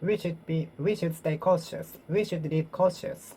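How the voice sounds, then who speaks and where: Low-voiced Japanese woman drilling English phrases. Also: fairly even intonation, warm tone, slight background noise. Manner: repeats and reworks phrases, pausing and self-correcting. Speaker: English learner. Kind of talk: solo speaking practice, talking to herself.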